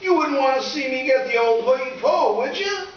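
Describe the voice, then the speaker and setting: A man's voice: very high-pitched, animated, moderate pace, lo-fi sound. A man playing a scheming, melodramatic teenage schoolboy with slangy, whiny delivery in old-time radio comedy style. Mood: panicked pleading. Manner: whiny, exclamatory, theatrical pleading. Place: live stage performance in a reverberant room. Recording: echoey distant stage recording with hiss.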